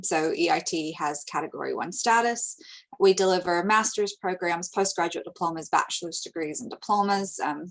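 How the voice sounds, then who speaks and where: American woman, lowish voice, webinar presentation, frequent ums, steady informative delivery. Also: poor audio. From United States. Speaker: international recruitment presenter. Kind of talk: webinar presentation over slides.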